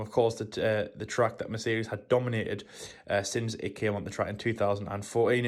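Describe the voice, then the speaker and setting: A man co-hosting a motorsport show, giving a steady race preview full of "of course". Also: fairly low pitch. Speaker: co-host.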